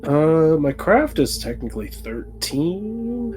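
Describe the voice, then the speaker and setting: A man's voice: fairly high pitch, big pitch swings, slow. A man chatting casually as a player at a tabletop roleplaying game. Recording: music bed behind the voice.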